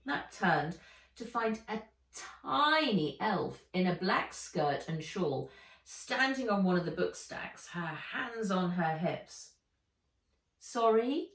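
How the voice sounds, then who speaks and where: A woman narrating a children's adventure book aloud in an expressive, dramatic and deliberate way. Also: fairly low pitch. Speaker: author reading own book aloud. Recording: echoey room, distant microphone.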